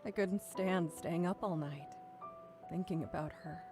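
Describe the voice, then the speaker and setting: A woman voicing an elegant older sister figure who speaks softly and affectionately. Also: on the low side, measured pace, over music, lightly acted. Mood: pained. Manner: soft, affectionate, calls others darling. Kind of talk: visual novel voice acting.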